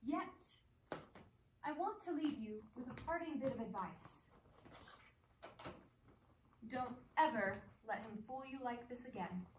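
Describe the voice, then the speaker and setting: A wry, self-assured stage actress , played by a woman with a somewhat high voice, teasing and poised. Her lines carry a roomy echo and sound caught from some distance off, with a faint hiss behind. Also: animated, slow, long pauses. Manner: poised, wry, gently mocking. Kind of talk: stage play acting.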